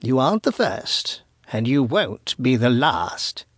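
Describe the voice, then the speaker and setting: A man voicing a wicked, boastful old witch in a storybook, with a craggy voice and slow, threatening delivery. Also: medium pitch, sing-song, a bit bright, slightly rough, no room sound, clean studio sound, exaggerated voice. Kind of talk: storybook narration character voice.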